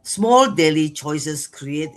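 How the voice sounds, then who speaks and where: Slow-speaking older woman from Brunei. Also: low-pitched, animated. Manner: slow, halting, with pauses. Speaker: panelist.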